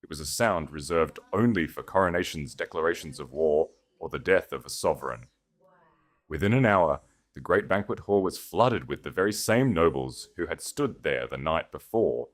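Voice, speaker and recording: Deep-voiced man narrating a dramatic story. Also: animated, normal speed.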